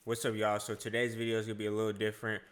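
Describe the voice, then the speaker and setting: A man's voice: on the low side, completely flat delivery, very fast, loud music behind the voice. A young man who grew up in Asia, hosting a casual reaction video and chatting in a laid-back, slangy way. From grew up in Asia (Hong Kong, UAE, India). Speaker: YouTuber reacting to a trailer.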